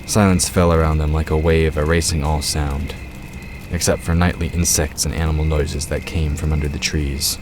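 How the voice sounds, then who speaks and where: A man's voice: extremely low pitch, level tone, normal speed, music in the background. A man giving scripted narration for a fantasy audio drama.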